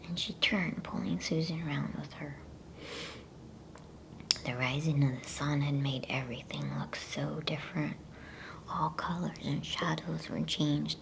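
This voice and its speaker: Slow, whispering woman reading bedtime stories. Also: low-pitched, fairly even intonation, vocal fry. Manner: hushed, soothing, unhurried. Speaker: ASMR storyteller and channel host. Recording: faint background noise.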